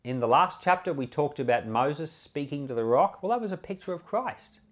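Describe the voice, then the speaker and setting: A man's voice: on the high side, expressive, normal speed. A man with an Australian accent, a pastor giving an informal sermon, explaining scripture conversationally and posing rhetorical questions. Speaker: pastor and Bible podcast host.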